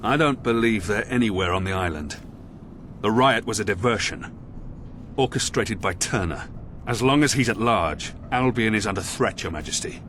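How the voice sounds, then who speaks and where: An actor playing a grim, dutiful military commander in a video game, speaking in an earnest, formal, authoritative way. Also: male voice, lowish voice, expressive, normal speed, a bit bright, a little hiss or hum. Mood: grave, explaining. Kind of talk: video game cutscene acting.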